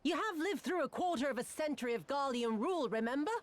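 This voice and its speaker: A woman's voice: high-pitched, animated, quick pace. An actress playing a cruel, haughty female imperial viceroy who drawls with mocking, theatrical disdain. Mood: scornful.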